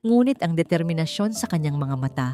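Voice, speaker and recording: A woman reading a scripted, dramatic suspense love story in short, measured phrases. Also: sits low, expressive, mellow. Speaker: narrator of a story channel. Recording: clear music bed behind the voice. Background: background music.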